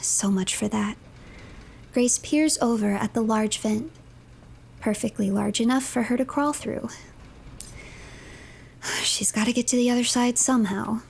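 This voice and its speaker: High-voiced woman storyteller with creaky edges. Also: a little slow, pauses often, darkish tone, a little hiss or hum. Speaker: streamer narrating a horror game playthrough. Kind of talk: dramatic third-person story narration.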